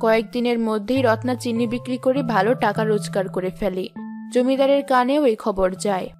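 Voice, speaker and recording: A woman's voice: a little high, a little fast, music in the background. A woman reading scripted narration for a children's fairy-tale cartoon.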